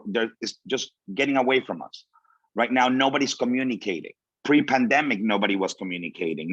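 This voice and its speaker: Low-voiced man in dull, lo-fi sound. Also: fairly bright tone, no room sound. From Venezuela. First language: Spanish. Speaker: guest speaker. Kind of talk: informal motivational talk to students. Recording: dull, lo-fi audio.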